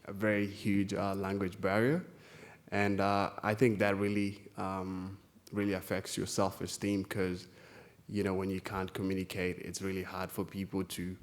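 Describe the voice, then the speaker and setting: A man in his twenties from South Sudan, giving an informal personal talk on a conference stage with a hesitant, halting delivery. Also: low voice, monotone. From South Sudan, born in a Kenyan refugee camp. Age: 20s. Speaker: guest speaker, youth volunteer. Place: conference stage, live event.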